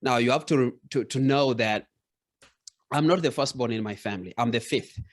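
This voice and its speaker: A man's voice: medium pitch, normal speed. A man from Kenya, hosting a podcast interview and affirming his guest as he shares his own story. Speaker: podcast host.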